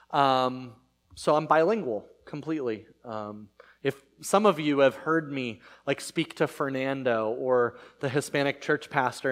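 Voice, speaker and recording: Man, pastor, native Spanish speaker, thirties, casual sermon, rambling, lots of fillers, mid pitch. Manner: conversational, rambling with many fillers and restarts.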